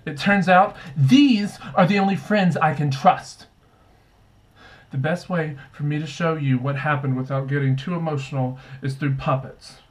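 A young man speaking to camera as a vlog host, with a deadpan, mock-serious manner. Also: on the high side, moderate pace, far from the mic. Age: young adult. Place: small bedroom, indoors. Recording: roomy, echoey bedroom sound.

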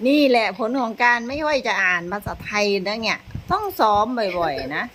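A mother speaks in a relaxed, homey way, nudging and correcting her child through book titles. Her pitch moves a lot, rising and falling as she talks, and she keeps her tone patient and encouraging. A faint steady hiss sits under the recording. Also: normal speed. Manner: coaxing, gently correcting a child's reading. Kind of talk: casual home chat.

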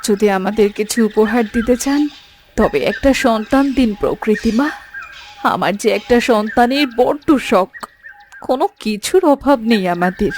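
A woman's voice: fairly high pitch, normal speed, slight background noise, natural voice. A woman playing a pleading, wistful queen in a cartoon fairytale.

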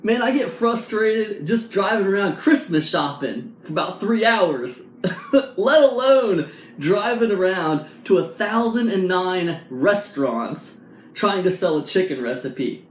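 40s guy rambling a pep-talk story into his phone, voice jumps all over, slightly high, echoey room. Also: unhurried, a little hiss or hum. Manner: animated storytelling with many fillers and rhetorical "right?". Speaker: vlog host. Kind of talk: casual motivational talk to camera. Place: small indoor room at home. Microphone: camera or phone at arm's length.

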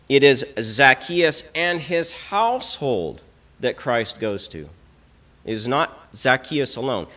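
A man's voice: mid-range voice, a bit bright. A middle-aged Orthodox priest giving a teaching talk at a lectern in a small church hall, emphatic and given to repeating words as he builds a point. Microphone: stand mic on lectern, filmed from a fixed camera.

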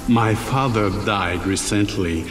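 An actor playing a formal gentleman pleading for help in a video game, theatrical and halting. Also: on the low side, music in the background. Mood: formal, imploring. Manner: theatrical, over-exaggerated, halting. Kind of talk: video game character dialogue. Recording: echoey reverberant game audio, background ambience.

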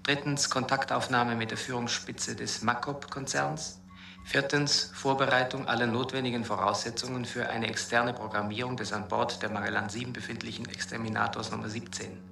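A dry male government adviser in a radio play. His delivery is monotone and bureaucratic, with heavy gravel and a forceful edge, in a roomy space. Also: medium pitch, moderate pace. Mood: dry, official. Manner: flat, bureaucratic. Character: dry government adviser listing secret measures. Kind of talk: formal numbered read-out in a radio drama. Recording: roomy binaural studio sound.

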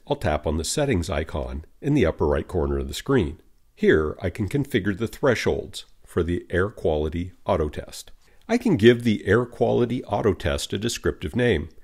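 A man narrating a scripted software tutorial in a measured, instructional manner. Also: low-pitched, breathy edge.

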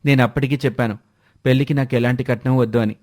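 A man's voice: medium pitch, steady tone, fast, darkish tone, lightly acted. A man doing an earnest young son-in-law.

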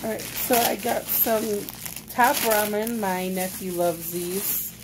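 Young woman doing a casual vlog, talks pretty slow, noisy phone recording in her kitchen. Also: medium pitch. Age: young adult. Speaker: vlogger. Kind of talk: casual grocery haul vlog chat. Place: small indoor home kitchen. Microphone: phone close at arm's length. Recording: noticeable noise on phone recording.

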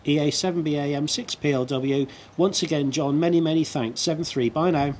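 A man's voice: fairly high pitch, fairly quick. A British man chatting casually as an amateur radio operator, with a hesitant, talkative delivery, recorded outdoors on a rooftop. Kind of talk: casual radio contact and outdoor video commentary. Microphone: video camera near the speaker. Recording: outdoor rooftop with clear background noise.

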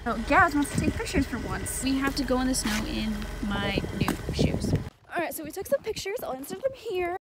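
A woman's voice: high-pitched, sing-song, a little fast, heavy background noise. A nineteen-year-old woman with an American accent, chatting casually to her vlog camera. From United States, Michigan and New Hampshire. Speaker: vlogger. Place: bedroom, car and outdoors.

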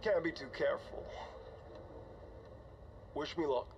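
An actor playing a weary, older starship commander, speaking in a halting, conversational way for a science fiction game audio log. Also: male voice, fairly high pitch. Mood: relieved, awed. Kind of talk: dramatic audio log acting. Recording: dull, noisy cockpit log recording.

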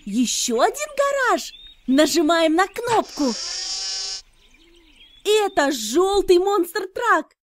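A woman's voice: very high voice, very animated, takes it slowly, long pauses, a little hiss or hum. A woman narrating an educational cartoon for small children in an enthusiastic, instructive, carefully enunciated way. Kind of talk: scripted narration for toddlers.

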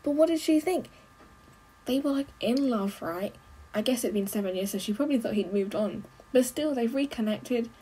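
A young adult woman giving casual, expressive running commentary as she reacts to a film, full of exclamations. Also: a little high, normal speed, slightly rough, rough recording. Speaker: movie reaction host. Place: small bedroom.

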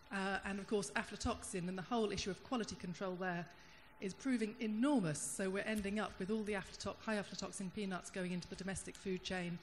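A woman in the audience at a panel discussion, sharing thoughtful comments into a handheld microphone, pausing and restarting as she searches for words. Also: medium pitch. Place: large conference hall. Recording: hall reverb, faint room hum.

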